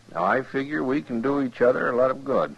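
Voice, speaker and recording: An actor playing a sly, presumptuous gambler with an ingratiating, insinuating manner in a 1950s radio western. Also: male voice, medium pitch, vocal fry, husky. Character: slick, presumptuous male gambler, oily and sly. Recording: muffled 1950s radio recording.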